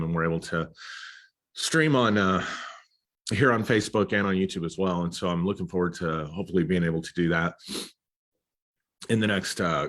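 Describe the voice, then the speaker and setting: Congested guy with a really low voice, sniffly, lots of ums, chatting on a live stream. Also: fairly bright tone. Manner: halting, many fillers and throat clearing. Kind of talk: casual live stream talk. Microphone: computer or phone mic.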